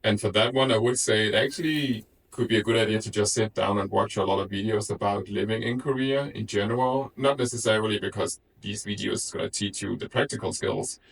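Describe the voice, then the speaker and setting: A man from Denmark gives a documentary interview in a low, gravelly voice with a husky edge. He explains things frankly and at length, in a reflective way. His voice is picked up from some distance off the mic. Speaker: interviewee, IT developer.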